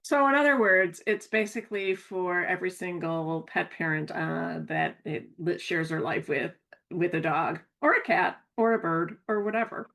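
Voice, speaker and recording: Lower-pitched American woman, podcast co-host. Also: normal speed, vocal fry, fairly bright tone. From American Midwest. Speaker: podcast co-host, pet behavior consultant. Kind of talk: conversational podcast talk.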